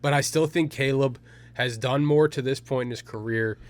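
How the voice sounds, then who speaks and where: A man's voice: medium pitch, normal speed. A young man co-hosting a sports podcast, often stumbling and repeating words as he starts a point. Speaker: podcast co-host. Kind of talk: casual sports debate.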